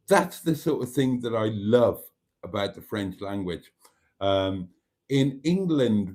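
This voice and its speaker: An older man from Wales, with Welsh as his first language, chats slowly and at length as he answers comments on his live stream. His voice sits low, and he drifts from point to point with dry, wry asides. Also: a little mellow. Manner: chatty, rambling, wry asides. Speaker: livestream host. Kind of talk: casual live chat reading comments.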